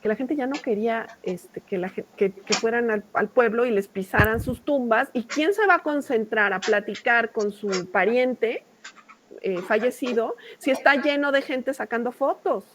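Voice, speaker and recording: A woman's voice: fairly high pitch, moderate pace, a little hiss or hum. A middle-aged woman with a Mexican accent teaching a class in an informal, discursive, conversational way, often checking in with short tag questions. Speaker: university lecturer.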